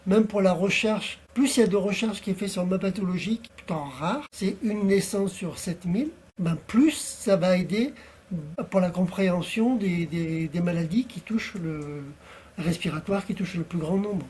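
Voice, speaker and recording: Practical man in his fifties, husky and mellow, plain-spoken interview guest. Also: high voice. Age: 50s. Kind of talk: casual interview talk.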